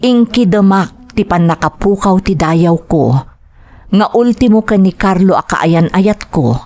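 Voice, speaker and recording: A woman's voice: medium pitch, animated, dark tone, close to an everyday voice. A woman acting an anguished young waitress daughter in an Ilocano drama, with an Ilocano accent and dramatic delivery. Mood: somber, confessional. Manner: slow, dramatic delivery with tearful outbursts. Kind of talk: Ilocano radio-style drama acting and narration.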